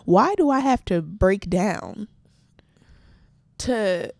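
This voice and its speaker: A soft-spoken young woman co-hosting a podcast, telling stories in a casual chat and acting out quoted voices. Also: medium pitch, drawn-out words, creaky, dry recording. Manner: animated storytelling with quoted voices. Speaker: podcast co-host.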